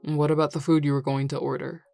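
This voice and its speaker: A woman acting a prickly, guarded tsundere bar worker in an audio roleplay, creaky, curt and irritable. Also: low-pitched, level tone, fast, mellow, no room sound, soft background music. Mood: weary, grudging.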